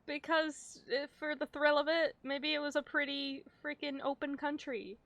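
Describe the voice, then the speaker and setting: A woman's voice: high voice, steady tone, moderate pace, fairly bright tone, no room sound. A young adult woman giving casual livestream game commentary, jokey, affectionate and slangy with some swearing. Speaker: streamer.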